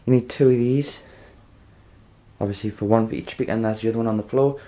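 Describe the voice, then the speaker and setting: A man presenting an informal how-to demonstration, halting and rambling, often checking in with the listener. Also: medium pitch, fairly even intonation, warm tone. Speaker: video tutorial presenter.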